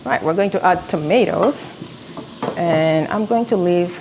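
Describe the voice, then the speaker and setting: A woman's voice: lowish voice, a little slow, background noise. South Sudanese woman chatting while cooking. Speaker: cooking show host. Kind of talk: live cooking demonstration. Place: home kitchen, indoors. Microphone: camera on tripod, medium distance.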